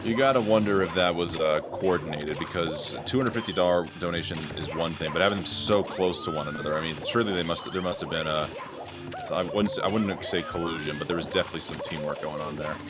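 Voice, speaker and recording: A man's voice: sits low, quick pace, over loud music. A man commentating a live speedrun stream, joking and rambling in asides.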